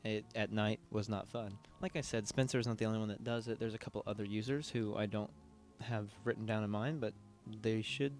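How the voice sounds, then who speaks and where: A man's voice: on the low side, fairly even intonation, brisk, few pauses, darkish tone, soft background music. A man hosting a video commentary in a casual, conversational, informal way. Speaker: video essay host.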